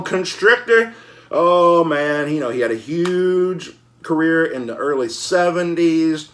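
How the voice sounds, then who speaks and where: A man with a forceful, bright voice hosts in a casual vlog style. His pitch swings widely as he talks in a folksy, slangy way, excitable and quick to exclaim. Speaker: host of a collecting show. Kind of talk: casual enthusiastic vlog chat.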